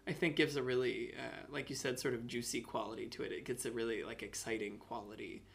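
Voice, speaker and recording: Male podcast host and actor, mid-range voice, casual and thoughtful, halting delivery. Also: medium pitch, little pitch movement, vocal fry. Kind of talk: casual interview chat.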